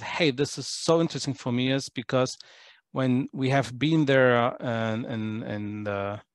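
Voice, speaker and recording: A man's voice: mid-range voice, no room sound, studio quality. A man from Poland, talking casually as a podcast guest, hesitant with many fillers and restarts. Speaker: podcast guest, tour guide.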